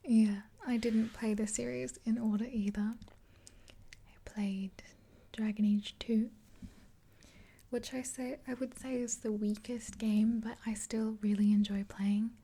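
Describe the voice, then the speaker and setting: A woman in her twenties doing a gentle ASMR roleplay in a soft, unhurried, breathy whisper, close to the mic. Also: on the high side. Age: 20s. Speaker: ASMR video creator. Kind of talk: ASMR roleplay, whispered makeover with rambling chat. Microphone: close to the mic, facing camera.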